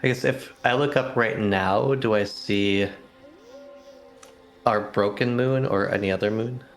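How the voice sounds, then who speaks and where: A man's voice: lowish voice, steady tone, unhurried. A man talking casually as a player in a tabletop roleplaying game.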